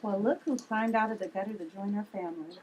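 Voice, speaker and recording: A woman reading the part of a brash, sharp-tongued aunt who likes a drink, in a family drama table read. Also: medium pitch, quick pace, background noise, lightly acted. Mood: scornful.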